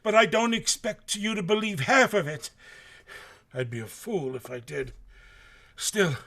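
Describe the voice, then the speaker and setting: A man playing a nervous, rough but honest sailor in a radio drama, stammering and deferential. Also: high-pitched, a little slow, pushed and bright, full character voice. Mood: resolute. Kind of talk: live radio drama acting.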